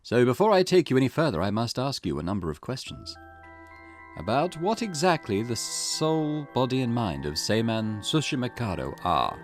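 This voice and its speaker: A man reading aloud the lines of a cryptic, formal male storyteller who guides the player, with a measured, theatrical delivery. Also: mid-range voice, very animated, pitched above the performer's own voice, lightly acted. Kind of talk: reading game dialogue aloud. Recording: game music playing behind.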